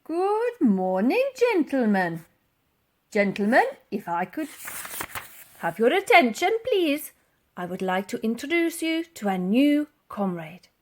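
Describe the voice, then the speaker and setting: A woman's voice: on the high side, sing-song, slow, pitched above the performer's own voice. A woman voicing a little old male army veteran who is bold and bossy, speaking in a commanding, theatrical way.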